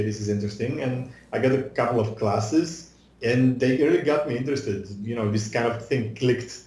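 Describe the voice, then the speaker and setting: A man's voice: medium pitch, a little mellow. Bulgarian man on a roomy video call. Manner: hesitant, many fillers and restarts. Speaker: guest, visual effects artist. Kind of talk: casual interview over video call. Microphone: video call webcam mic.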